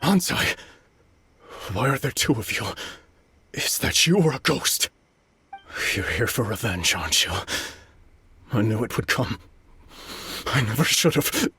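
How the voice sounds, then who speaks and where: A man's voice: mid-range voice, big pitch swings, measured pace, pauses often, pushed and bright, husky, no room sound, full character voice. An actor playing a guilt-ridden, broken master swordsman in a video game, speaking formally and earnestly with anguish. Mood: shaken, fearful. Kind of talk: dramatic video game voice acting.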